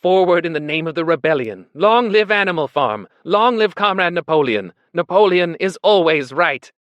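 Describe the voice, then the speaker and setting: Man putting on an oily, high-voiced propagandist pig. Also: lively intonation, normal speed, dry recording, lo-fi sound, lightly acted. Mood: tearful, reverent. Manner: melodramatic, pompous, insincere. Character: oily, theatrically mournful male pig propagandist.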